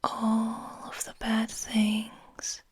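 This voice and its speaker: A woman whispering breathily in a slow, soothing guided ASMR style, lingering on her words. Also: fairly high pitch, monotone, husky, no echo, studio quality. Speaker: ASMR host.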